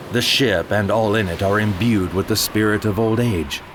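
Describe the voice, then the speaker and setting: A man's voice: on the low side, normal speed, a bit bright, slight background noise. A man narrating a first-person audio drama in a grave, theatrical manner. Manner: grave, theatrical, rising to frantic cries. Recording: music bed under the voice. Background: music.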